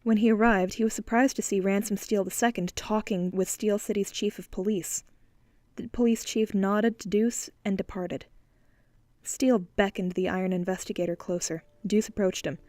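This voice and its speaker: A woman narrating a dieselpunk noir audiobook with steady, clear storytelling. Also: medium pitch, moderate pace, dry recording. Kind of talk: scripted narration.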